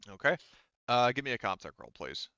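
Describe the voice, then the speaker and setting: A man running a tabletop game, explaining rulings and details in a casual, halting way. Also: medium pitch, very animated, bright, forceful tone, dry recording, dull audio. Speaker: game master.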